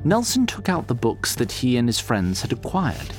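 Theatrical male storyteller, very animated. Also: mid-range voice, over music. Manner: theatrical, deliberate, wry. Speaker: narrator. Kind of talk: scripted fantasy storytelling narration.

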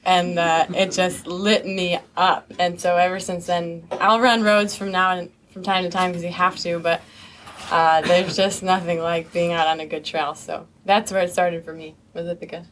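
A woman's voice: fairly low pitch, level tone, moderate pace, a bit bright, slight background noise. A woman casually recalling her first trail run with easygoing enthusiasm. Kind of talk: casual personal storytelling.

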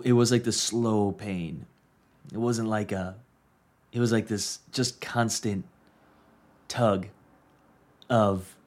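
A man in his thirties talks through a coaching podcast episode in a low-pitched voice. He speaks earnestly and hammers points home by repeating phrases. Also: a little mellow. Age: 30s. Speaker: podcast host and business coach. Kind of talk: casual reflective monologue.

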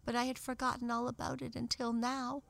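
A woman's voice: fairly high pitch, flat delivery, fairly quick, vocal fry, a bit bright, voice pushed up. A sympathetic little doll as a woman storyteller voices her. She is a caring toy character in a children's storybook, talking simply. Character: sympathetic female Dutch doll.

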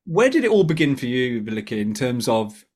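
A man's voice: medium pitch, big pitch swings, normal speed, a little mellow. Enthusiastic British man co-hosting. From United Kingdom. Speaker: podcast co-host. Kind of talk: casual podcast chat.

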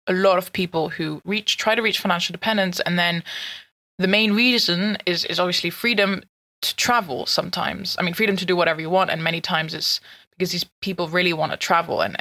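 A woman's voice: fairly low pitch, fairly bright tone. A woman hosting a podcast interview in a casual way, with frequent "uh"s and restarts. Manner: frequent filler words and restarts. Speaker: podcast host. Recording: dull lo-fi recording.

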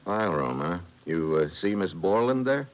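An actor playing a terse, dogged American government agent in a 1950s radio detective drama, clipped and probing. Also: male voice, deep voice, animated, normal speed, a little hiss or hum. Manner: clipped, hard-boiled, probing. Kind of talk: 1950s radio detective drama acting with first-person narration.

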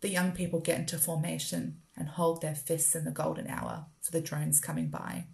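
An Australian woman reading her own literary writing aloud in a measured, deliberate, poetic way. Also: sits low, flat delivery, vocal fry, darkish tone. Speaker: writer performing own work. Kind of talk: literary spoken-word reading.

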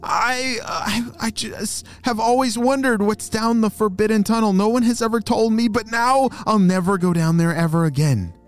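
A man voicing Jackson, a young boy tortoise who speaks in a high, anxious, apologetic way. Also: moderate pace, few pauses, faint music behind, close to an everyday voice.

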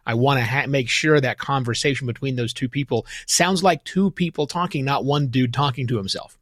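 A man speaks as a podcast interviewer in a conversational manner. His voice sits at a medium pitch, and the sound is dry and close, free of room echo. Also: fairly quick, a bit bright.

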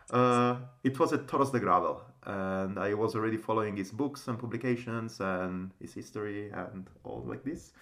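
A man's voice: mid-range voice, a little mellow. A man hosting a casual podcast in non-native English, with an enthusiastic, rambling, informal way of talking. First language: not English. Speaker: podcast host. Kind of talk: casual podcast intro and interview.

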